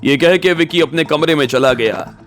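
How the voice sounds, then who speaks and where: A man's voice: a little high, normal speed. A man narrating a scripted audio story as its storyteller. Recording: music bed behind the voice.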